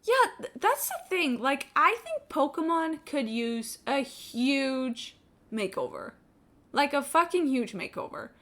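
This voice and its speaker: High, bright-voiced woman streamer, emphatic and sweary. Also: sing-song, slow. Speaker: live streamer. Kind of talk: casual chat while gaming. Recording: game music in the background. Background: video game music.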